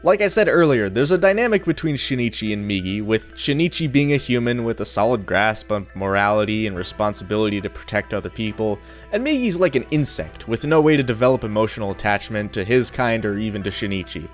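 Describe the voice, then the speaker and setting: Lively young man reviewing, sardonic. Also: on the low side, a little fast, hardly any pauses. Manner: casual, sardonic, blunt. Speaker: anime reviewer. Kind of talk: scripted video review narration. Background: faint music.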